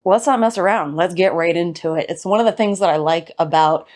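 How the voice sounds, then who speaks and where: A woman hosting her channel, giving a chatty, rambling home tour while hoarse from recovering from an illness. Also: fairly low pitch, fairly quick, a little mellow, a little hiss or hum. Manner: bubbly, rambling, self-deprecating. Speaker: host of a home decor channel.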